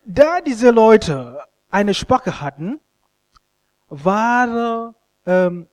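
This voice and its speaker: A man, a Luganda speaker, preaching a sermon in an expressive, halting way and often stumbling over words. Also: high-pitched. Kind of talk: sermon with scripture reading and commentary.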